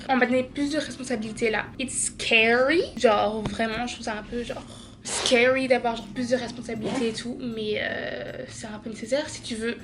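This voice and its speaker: Young woman from Belgium, higher voice, chatty and tired, home vlog with faint music behind. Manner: chatty, tired, says genre a lot. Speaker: vlogger. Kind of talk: casual vlog chat.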